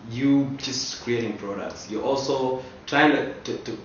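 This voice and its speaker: A man asks casual, halting interview questions in a mid-pitched voice. He sounds away from the microphone, in a strongly reverberant space with a faint layer of noise. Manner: hesitant, repeats words as he forms questions. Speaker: interviewer, social media professional. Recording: roomy echo, distant mic, dull, faint noise.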